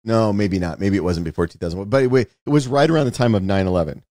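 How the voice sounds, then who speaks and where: A man's voice: on the low side, quick pace, mellow, no room sound. A middle-aged American man hosting a casual podcast, talking in a rambling, dry, casually sweary way. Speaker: podcast host; screenwriter and author.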